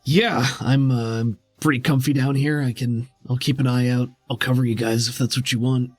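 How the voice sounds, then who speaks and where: A man's voice: medium pitch, fairly even intonation, moderate pace, no echo, soft background music, close to an everyday voice. A man voicing a wounded, easygoing comrade in a tabletop game, casual and laid-back.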